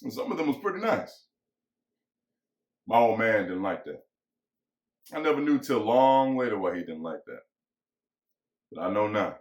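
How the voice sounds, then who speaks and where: A man's voice: on the low side, sing-song, moderate pace, long pauses, darkish tone. A man acting as bitter, lonely American ranch hand, echoey. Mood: bitter, reflective. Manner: folksy rural vernacular, musing and pressing. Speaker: actor. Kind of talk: dramatic reading of a novel. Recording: echoey room.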